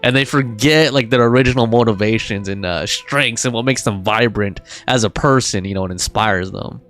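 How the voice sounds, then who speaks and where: A woman's voice: very deep voice, normal speed, soft background music. An adult woman giving casual live game commentary as a streamer, with informal, slangy reactions. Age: adult, older than early twenties.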